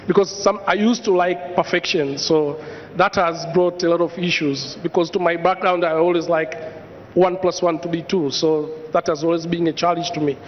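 A man from Tanzania giving a hesitant personal testimony in a large church hall, speaking into a handheld mic with many pauses and ums. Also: fairly high pitch, fairly even intonation, a little hiss or hum. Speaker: church congregant. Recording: dull echoey hall sound.

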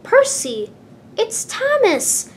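A woman voicing an excitable, loyal young male steam engine in a storybook, exclaiming loudly and urgently. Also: very slow, heavily acted. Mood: excited, urgent. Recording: clear background noise with low rumble.

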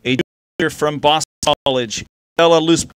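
A young man with a fairly high voice reads award winners one by one at an outdoor podium microphone. His delivery is steady and formal, with a faint hiss of open air around him. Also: fairly quick. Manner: steady, formal name-reading. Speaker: awards presenter. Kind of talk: reading award announcements. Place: outdoor stage by a river.

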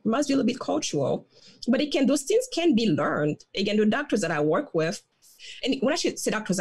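A woman's voice: mid-range voice, darkish tone, breathy edge. A woman in her thirties who grew up in Haiti, a dentist guest explaining her views earnestly on a headset mic at home. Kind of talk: interview conversation. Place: home room.

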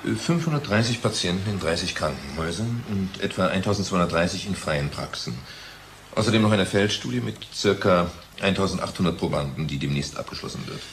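A man's voice: low voice, animated, a little fast. An actor playing a blunt, stubborn male research doctor in a radio play, dry and hesitant in manner. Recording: faint background noise.

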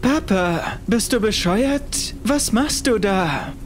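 A man's voice: sits high, expressive, slow, slight background noise, voice pushed up. A man voicing a worried young son in a horror audiobook, urgent and questioning. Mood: appalled. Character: worried young son, narrator of his own ordeal.

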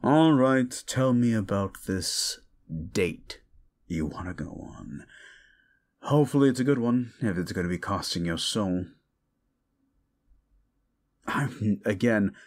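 A man playing a condescending, sardonic ancient demon in an audio roleplay, gravelly, with theatrical, mocking delivery. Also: on the low side, darkish tone, heavily acted. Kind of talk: audio roleplay monologue addressed to the listener.

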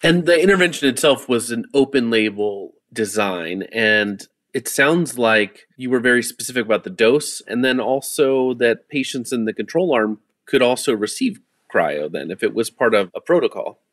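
A man's voice: medium pitch, animated, normal speed, few pauses, a bit bright. A man hosting a medical podcast interview, summing up and putting questions to his guests. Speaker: host, physician journal editor.

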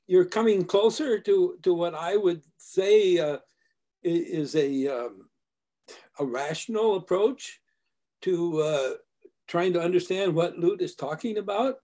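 An older man in a video call discussion, explaining ideas slowly with hesitations from his home study. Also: high voice, dark tone. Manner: measured, hesitant, lecturing. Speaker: discussion group member. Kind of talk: casual academic discussion.

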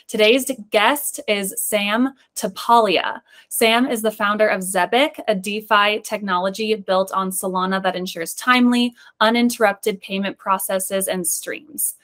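A woman's voice: medium pitch, steady tone, a little slow, a bit bright. A young woman hosting an interview show over a video call, presenting in a prepared introduction-and-questions style. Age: young adult. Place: indoor room, on a video call. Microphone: video call microphone.

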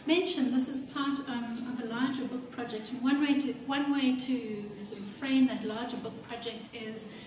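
Soft-voiced middle-aged woman starting a lecture, lots of ums, echoey room, faint hiss. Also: a little high, fairly even intonation, normal speed, hardly any pauses, far from the mic. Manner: soft-spoken, hesitant with many ums. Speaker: guest lecturer. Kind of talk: academic talk. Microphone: lectern mic, filmed by far camera.

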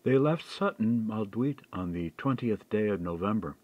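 A man reading a historical mystery as an audiobook, in a measured, expressive storytelling manner. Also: lowish voice, phone-quality sound.

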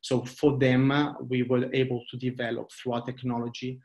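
An Italian man in his thirties presents his company to investors on a laptop video call. He speaks in a steady, flat tone that rarely rises or falls. His delivery is earnest, with frequent hesitation fillers. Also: mid-range voice, moderate pace, rough recording. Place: small indoor room. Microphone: laptop webcam video call.

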